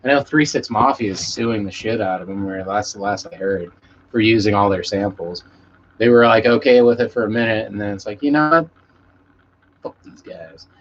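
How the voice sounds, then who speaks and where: A man's voice: lowish voice, fairly quick. A man hosting a casual live stream, chatting with viewers in a laid-back, rambling way and swearing freely. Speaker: musician. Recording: muffled lo-fi stream audio with background noise.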